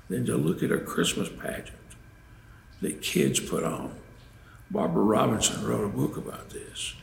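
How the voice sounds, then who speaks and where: An older man tells devotional holiday stories to an audience in a folksy manner, with dramatic pauses and emphasis. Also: mid-range voice, gravelly. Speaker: storyteller and preacher. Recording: roomy echo, faint background noise.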